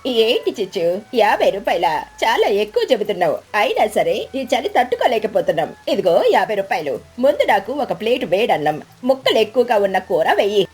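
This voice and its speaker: Woman voicing a husky, grumbling cartoon eagle. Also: medium pitch, big pitch swings, a little fast, few pauses, a little mellow. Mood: haggling. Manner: blunt, complaining. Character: stern, grumbling eagle customer in a children's cartoon. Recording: background music bed.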